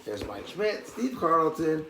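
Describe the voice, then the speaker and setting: A man's voice: a little high, big pitch swings, takes it slowly, creaky, a bit bright. Man reading a storybook in an echoey room. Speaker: storybook reader. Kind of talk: reading a bedtime story aloud, with casual asides. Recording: echoey room with faint background noise.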